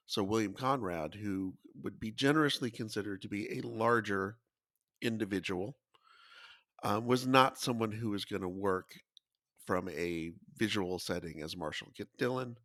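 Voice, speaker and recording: A man's voice: lowish voice, normal speed, a bit bright, no room sound, clean studio sound. A man on a podcast panel explaining something in a casual, conversational way.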